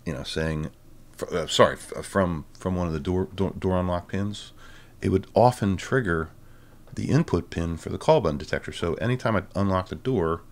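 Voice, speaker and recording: A man giving a casual, halting tech demo of a hobby project, dryly informal and self-deprecating, with a gravelly voice. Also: low voice. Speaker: presenter of a hobby electronics project.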